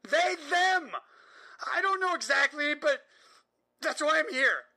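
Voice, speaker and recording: A woman playing an exhausted, terrified insomniac patient in an audio drama, halting, anxious and pleading. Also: high-pitched, animated, sharp tone, full character voice. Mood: panicked.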